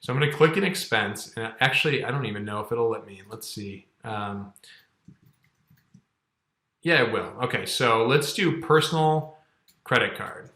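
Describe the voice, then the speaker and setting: Bright-voiced man teaching in a roomy space. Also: medium pitch, lively intonation, normal speed, long pauses. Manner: conversational, explanatory, frequent check-ins like "okay" and "all right". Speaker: accounting course instructor. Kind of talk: casual screen-share tutorial. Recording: roomy, echoing indoor sound.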